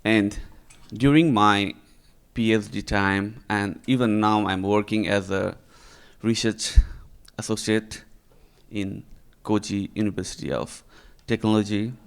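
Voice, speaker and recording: A man from Nepal giving a conference lecture in English, speaking slowly and deliberately with frequent fillers and tag questions. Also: on the low side, fairly even intonation, vocal fry, clear, resonant tone. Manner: slow and deliberate, frequent "uh" and "right?". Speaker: researcher giving a talk. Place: conference hall.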